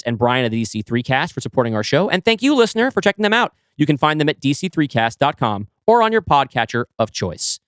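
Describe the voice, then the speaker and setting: Fast-talking man, eager podcast co-host. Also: a little high, fairly bright tone, clear, resonant tone, dry recording, clean studio sound. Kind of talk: casual podcast chat.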